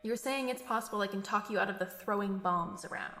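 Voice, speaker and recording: An actress playing a skeptical young friend in an audio drama, questioning and sardonic. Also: female voice, medium pitch, a little fast, a bit bright, lightly acted. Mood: earnest, insistent. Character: skeptical, wisecracking young friend tagging along on a mission. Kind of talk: naturalistic audio drama acting. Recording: roomy echo with music behind.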